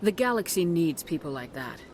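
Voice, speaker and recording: A woman's voice: fairly low pitch, big pitch swings, normal speed, noisy background. A woman playing a blunt, assertive military commander in a video game, speaking in a direct, firm way. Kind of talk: video game dialogue acting.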